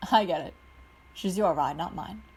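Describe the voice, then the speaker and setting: A woman's voice: lowish voice, normal speed, a little mellow, husky. A woman voicing a kind, wry middle-aged father who is a scientist.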